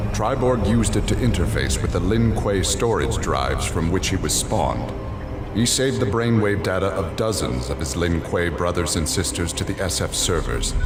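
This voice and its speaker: Very deep, solemn male narrator. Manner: solemn, measured storytelling. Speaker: narrator of video game story endings. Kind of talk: scripted dramatic narration. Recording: echoing voice under loud music. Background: loud music.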